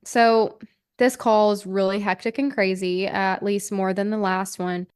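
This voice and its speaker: A woman's voice: medium pitch, fairly even intonation, unhurried, darkish tone, clear, resonant tone. A woman hosting a true-crime podcast, telling the story in a casual, conversational way peppered with "like". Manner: informal, hedging, filler-heavy with "like".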